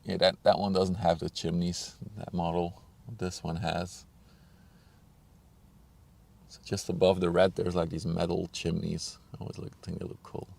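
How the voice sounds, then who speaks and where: A man streaming live outdoors, chatting casually and muttering to himself while he sets up his gear, his voice a bit hoarse from a cold. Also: low voice, completely flat delivery, unhurried, darkish tone. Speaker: ship-spotting livestreamer. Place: outdoors on a riverbank.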